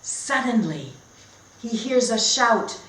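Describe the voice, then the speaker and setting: A woman teacher reading a storybook aloud as a lesson for young learners, speaking in simple, deliberate phrases with pauses and questions to her listeners. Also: on the high side, very animated, a bit bright. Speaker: teacher demonstrating read-aloud techniques. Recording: echoey room with clear background noise.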